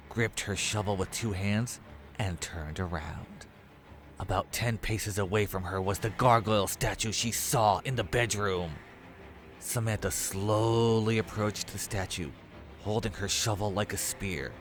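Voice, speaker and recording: Low-voiced man narrating horror over music. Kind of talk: scripted horror story narration.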